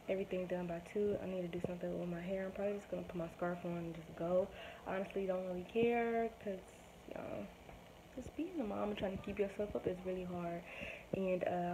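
A woman's voice: mid-range voice, noisy background. A young woman vlogging casually to camera at home, rambling with many ums while losing her voice from a sore throat. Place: small home room indoors. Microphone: phone or webcam at arm's length.

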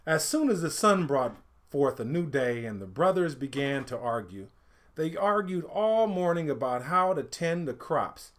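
Man, middle-aged, storybook read-aloud, fairly high voice, very lively intonation, deliberate emphatic phrasing. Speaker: storyteller reading a picture book aloud. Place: indoors, small home studio room.

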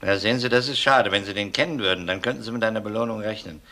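Very deep-voiced shrewd detective. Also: male voice, bright, forceful tone. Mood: affable, sly. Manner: measured, probing, outwardly courteous. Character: shrewd, methodical male police detective. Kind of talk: German crime radio play acting.

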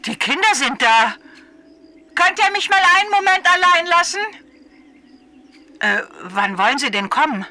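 A woman's voice: high-pitched, lively intonation, unhurried, pauses often, pushed and bright, exaggerated voice. An actress playing a guarded, bitter widowed mother in a radio play, weary and formal in manner. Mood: distracted.

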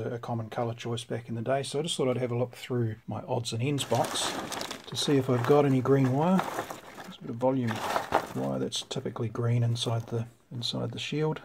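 Male, New Zealand accent, mid-pitched. Hobbyist repairer explaining casually at the bench, with noticeable background noise. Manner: conversational, thinking aloud. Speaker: vintage radio repairer presenting. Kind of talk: casual explanatory workbench talk. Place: indoors at a workbench. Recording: clear background noise with clicks.

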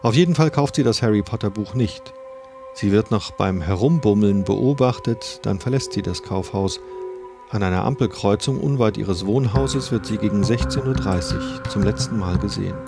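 A man's voice: on the low side, normal speed, creaky. A man reading scripted documentary narration about a crime case. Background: music.

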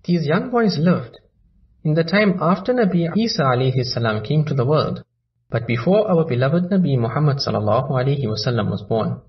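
A man narrating a children's story in a slow, lively storybook manner. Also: on the high side, highs cut off.

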